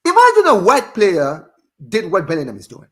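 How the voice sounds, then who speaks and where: A man from Africa hosting a casual football podcast, indignant and animated, calling his co-host "bro". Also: high-pitched, normal speed.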